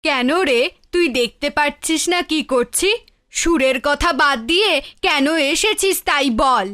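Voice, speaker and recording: Cartoon crabby aunt, female actor: very high, sharp voice, stingy, snappy and forever scolding. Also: a little slow. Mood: irritated, scolding. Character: ill-tempered, stingy village aunt. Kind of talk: cartoon fairy tale dialogue.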